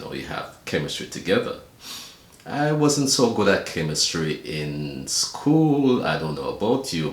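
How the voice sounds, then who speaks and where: A Jamaican man in his sixties talking earnestly to camera, measured and pausing often. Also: rumbling low, roomy sound, a little hiss or hum. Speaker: video blogger and church deacon. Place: room at home.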